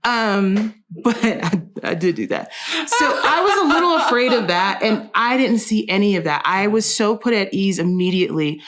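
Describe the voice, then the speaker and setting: A woman's voice: mid-range voice, expressive, moderate pace, a bit bright. A woman interview guest telling anecdotes in a casual chat, often acting out quoted remarks.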